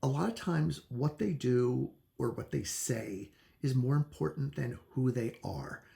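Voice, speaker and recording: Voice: mid-range voice, expressive, a little slow, creaky, warm tone. A book reviewer talking casually and thinking aloud, chatty and opinionated, with hesitant phrasing.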